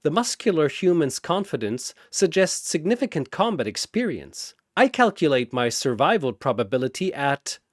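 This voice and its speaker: A man's voice: on the high side, very animated, moderate pace, hardly any pauses, dry recording, studio quality. Man as formal, analytical male android. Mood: detached. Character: precise, emotionless male android calculating threats.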